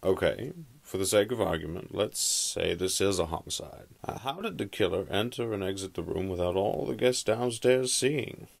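A man playing a gruff, skeptical police detective who challenges others in an audio drama. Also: low voice, expressive, normal speed, hardly any pauses, full character voice.

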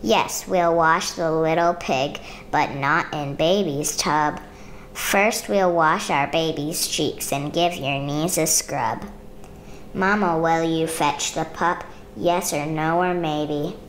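A woman reading a children's picture book aloud in measured, rhythmic rhyme. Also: deep voice, slight background noise. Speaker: storybook reader.

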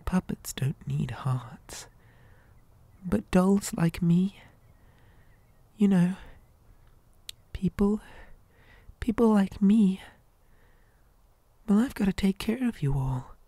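A woman playing a possessive living doll in an ASMR horror roleplay, gently coaxing with childlike menace in a breathy, hesitant whisper. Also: mid-range voice, expressive, dry recording, exaggerated voice. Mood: coaxing, unhinged. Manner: soft, coaxing, slow and hesitant.

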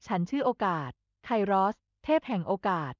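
A woman's voice: mid-range voice, big pitch swings, moderate pace, dry recording, muffled recording. A woman reading a scripted tale as a storyteller.

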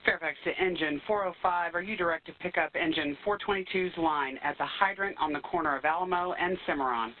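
A woman's voice: on the low side, fairly quick, few pauses. A woman working as a fire dispatcher, relaying scripted calls over a two-way radio. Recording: tinny radio with faint noise.

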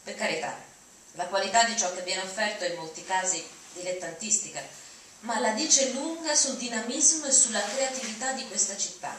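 A woman's voice: medium pitch, a bit bright. A middle-aged woman giving a dramatic reading of written prose at a lectern. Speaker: dramatic reader. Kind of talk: dramatic reading of essays aloud. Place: auditorium at a lectern. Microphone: lectern mic, recorded from audience. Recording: echoey hall from far off, faint noise.

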